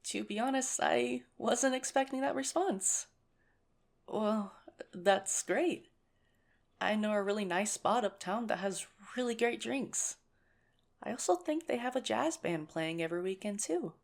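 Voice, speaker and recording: A woman playing a nerdy, earnest young teacher shyly courting a crush in a conversational ASMR romantic roleplay, gently self-mocking and a little hesitant. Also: a little high, unhurried, vocal fry. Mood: shy, hopeful.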